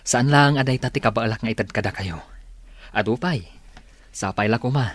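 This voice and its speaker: Figure: husky, earnest young suitor played by a man. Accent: Ilocano. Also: mid-range voice, moderate pace, no room sound, lightly acted. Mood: polite, modest. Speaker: radio drama actor. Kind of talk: Ilocano radio drama acting.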